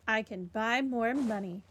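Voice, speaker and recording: Girl doing a chill playthrough, slow offhand wry comments, game music pretty clear behind her. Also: fairly high pitch. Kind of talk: casual gameplay commentary.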